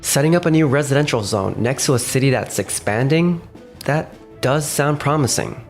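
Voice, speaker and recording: Manga dub lead: male actor as measured, sensible young boss and narrator, mid-pitched voice over faint music. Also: expressive, close to an everyday voice. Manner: measured, composed. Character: level-headed, cautious young male company boss and narrator. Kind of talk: manga dub dialogue and first-person narration. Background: faint background music.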